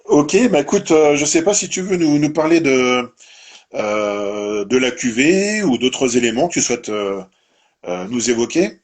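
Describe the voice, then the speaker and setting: A man's voice: mid-range voice, lively intonation, unhurried. A man hosting a live-streamed interview, talking in a meandering way and tagging his sentences with "hein". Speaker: host of a live winery presentation.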